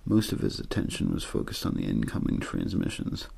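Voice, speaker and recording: An extremely deep-voiced man reads a story in ASMR style. His voice is husky, breathy and full of gravelly fry. He speaks softly in measured phrases, pausing often. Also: wildly swooping pitch. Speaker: audiobook-style reader for an ASMR channel. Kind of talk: ASMR story reading, scripted narration.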